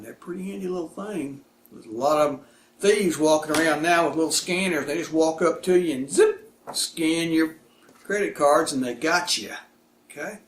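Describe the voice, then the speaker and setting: A man hosting a casual unboxing demonstration, speaking in short, plain, folksy phrases. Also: a little high. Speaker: product reviewer and video host. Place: indoors, echoey room. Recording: echoey room with clear background noise.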